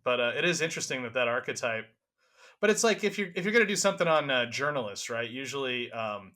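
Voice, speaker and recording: A man's voice: mid-range voice, lively intonation, fast talker, pushed and bright. A man co-hosting a podcast, talking casually and earnestly as he argues and explains his point. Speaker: podcast co-host. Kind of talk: casual conversational debate.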